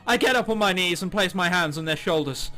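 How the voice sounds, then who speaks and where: A man's voice: high-pitched, lively intonation, brisk, sharp tone, soft background music. A man hosting a gaming channel, reading a visual novel aloud in a theatrical, jokey way with ad-libbed commentary.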